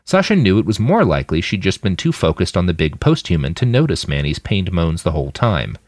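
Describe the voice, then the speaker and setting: A man with a very low voice narrates fiction in a dramatic audiobook style. His intonation swings widely, and the recording is close and dry. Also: fairly quick, no echo. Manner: dramatic, expressive storytelling. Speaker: fiction podcast narrator and author.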